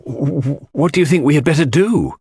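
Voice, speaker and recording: A man voicing a worried, indecisive younger gentleman, hesitant and agitated, in an audiobook. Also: fairly high pitch, animated, brisk, breathy edge, no echo, voice pushed up, heavily acted.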